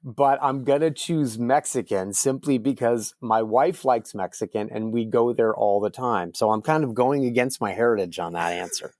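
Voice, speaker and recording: A man talks casually as an interview guest. His voice sits at an average pitch for a man. The recording is dry, with hardly any room sound. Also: normal speed, few pauses, darkish tone, dry recording. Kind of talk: casual chat.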